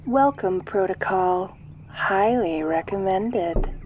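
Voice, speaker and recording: A woman's voice: mid-range voice, slow, dull audio, over music, natural voice. A woman voicing an impassive spaceship computer, giving formal, clipped announcements in a sci-fi audio roleplay. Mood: formal, procedural. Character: impassive female ship computer, procedural, alarm-giving machine.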